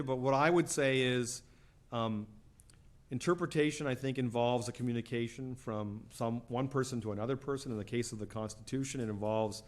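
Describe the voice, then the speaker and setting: A middle-aged man lecturing on law answers questions from the floor in a lecture hall. He talks in a loose, chatty way and keeps checking in with the audience as he goes. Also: mid-range voice. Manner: conversational, frequent "right?" and "you know".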